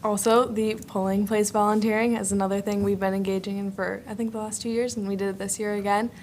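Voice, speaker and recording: A woman's voice: mid-range voice, flat delivery, quick pace, a little mellow. A teenage young woman giving an informal group presentation as a student club member, in a chatty, upbeat way. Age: teens. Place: indoor school board meeting room. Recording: faint room noise, public meeting.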